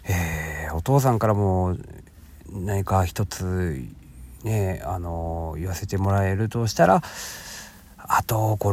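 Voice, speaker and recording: Middle aged guy doing a solo podcast, lowish creaky voice, chatty n kinda hesitant, lots of drawn out ums. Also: darkish tone. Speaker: podcast host. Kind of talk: casual solo talk to listeners.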